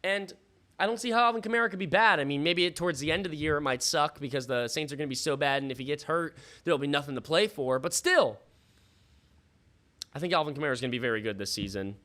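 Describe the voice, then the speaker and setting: A man hosting a live fantasy football stream in a casual, slangy, sweary way, thinking out loud, his voice starting to give out. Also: on the high side, animated, quick pace. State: losing his voice. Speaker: livestream host. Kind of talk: casual live fantasy football draft commentary with chat shout-outs.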